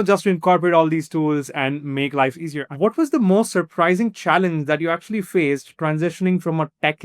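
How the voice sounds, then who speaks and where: A man's voice: a little high, clean tone, no echo, studio quality. A man hosting a podcast interview, asking long, loosely worded questions in a casual tone. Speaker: podcast host.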